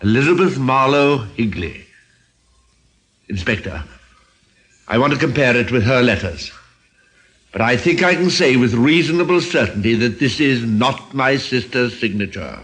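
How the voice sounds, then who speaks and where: A reserved, formal gentleman in an old-time radio drama. The voice is husky and lively in pitch, with careful hesitations between phrases. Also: normal speed, pauses often. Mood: careful, troubled. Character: reserved, hesitant, proper gentleman, brother of missing woman.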